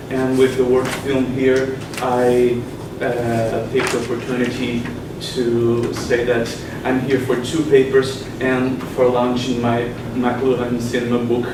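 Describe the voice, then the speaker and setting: A man from Brazil with a Brazilian Portuguese accent, giving an academic conference talk in a halting way with many pauses and fillers. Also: medium pitch. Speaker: conference presenter. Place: conference session room. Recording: echoey room from far off, noisy.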